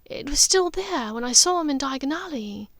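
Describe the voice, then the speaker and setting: A woman voicing an earnest, haunted witch mother, high-voiced. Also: animated, no room sound, clean studio sound. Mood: wistful, wondering. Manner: halting, vehement, careful. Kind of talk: audiobook character dialogue.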